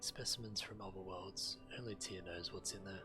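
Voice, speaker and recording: A man's voice: deep voice, fairly even intonation, moderate pace, music in the background, natural voice. A man voicing an enthusiastic, curious explorer scholar in a video game, full of wonder at ancient relics. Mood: awed, excited. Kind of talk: video game quest dialogue.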